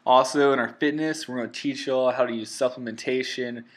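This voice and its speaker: A man's voice: medium pitch, fairly even intonation, brisk. A man, earnestly narrating for viewers as a channel co-host. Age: 20s. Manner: earnest, upbeat, addresses viewers as guys. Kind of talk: casual voice-over narration.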